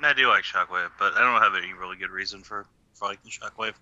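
A man's voice: lowish voice, fast, bright, forceful tone, dull audio. A man chatting casually with friends as a podcast panelist. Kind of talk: casual chat.

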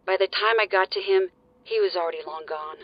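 A man's voice: high voice, fairly quick, dull audio, natural voice. A man playing a weary, guilt-ridden mercenary. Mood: regretful. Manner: terse, tired and stern.

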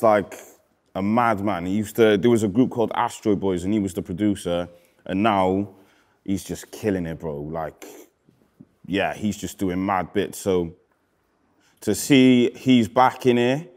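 Laid-back Cardiff man, low voice. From Wales. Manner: laid-back, slangy, trails off quietly. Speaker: rapper reading comments. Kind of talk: casual chat. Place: indoor studio with graffiti wall.

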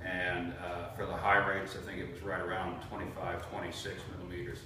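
A man's voice: sits low, level tone, fairly quick, noisy background. A man in his thirties giving a methodical model aircraft review to camera, with frequent fillers, in an indoor studio. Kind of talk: product review talk to camera. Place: indoor green screen studio. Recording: very echoey room, mic far off.

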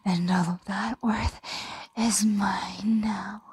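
A woman's voice: medium pitch, steady tone, darkish tone, husky, exaggerated voice. A woman playing an ancient, possessive, doting dragon in an ASMR roleplay, whispering breathily and soothingly, close to the microphone. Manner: slow, soothing, possessive murmuring.

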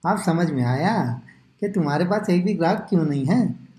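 A woman's voice: lowish voice, animated, normal speed, dark tone, roomy sound, natural voice. A woman playing argumentative, complaining village market men in a cartoon story.

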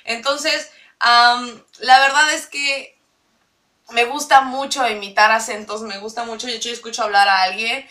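A woman's voice: fairly high pitch, steady tone, normal speed, sharp tone, recorded from a distance. A young woman from Mexico City with a mixed Latin American Spanish accent, chatting to camera in her bedroom, rambling and giggling between fillers. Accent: mixed Latin American Spanish with Mexico City base. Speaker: vlogger. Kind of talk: casual chat to camera. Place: small bedroom indoors.

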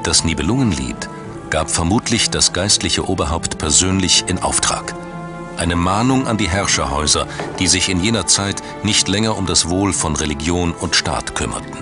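Male documentary narrator, very deep, measured and dramatic, music bed underneath. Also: animated. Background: background music.

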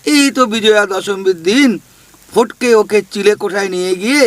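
A man's voice: sits high, normal speed, fairly bright tone, no echo. A man playing a teasing, gossipy ghost in a comic audio drama, talking with mocking familiarity and scolding questions. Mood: gloating gossip. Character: cheeky male ghost, teasing gossip who talks with folksy familiarity.

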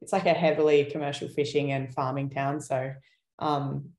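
A young woman talks in a relaxed, rambling way, full of fillers and small laughs. Her pitch stays flat and even. The video call audio carries a noticeable room echo. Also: normal speed. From Australia. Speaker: guest, wildlife activist. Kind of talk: casual panel discussion.

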